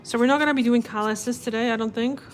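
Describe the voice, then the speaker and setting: A woman's voice: a little high, fast talker, slight background noise. A woman nail technician chatting casually on a live stream and explaining her work as she goes. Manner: relaxed, explains as she works. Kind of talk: casual live-stream chat while working.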